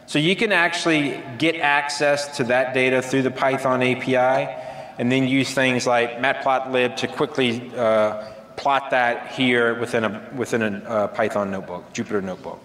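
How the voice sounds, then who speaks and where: A man giving a relaxed, casual technical demo at a conference, with many ums and uhs. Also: medium pitch, normal speed, roomy sound, in a large hall. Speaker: conference presenter. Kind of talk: technical software demo talk. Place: large conference hall.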